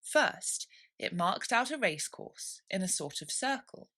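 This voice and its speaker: A woman reading a classic children's story aloud in an expressive, scripted narration style. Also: mid-range voice, measured pace. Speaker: storybook reader.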